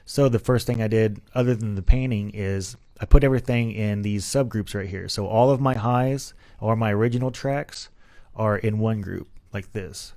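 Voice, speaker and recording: A man's voice: fairly low pitch, normal speed, warm tone. A man gives an informal tutorial on music production. He speaks in plain, everyday language, explaining his process casually as a musician who does the work himself.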